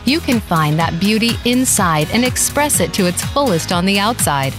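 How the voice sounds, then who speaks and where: A woman reading a scripted radio promo in a polished, smooth way. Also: medium pitch, animated, moderate pace, over music. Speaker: promo announcer.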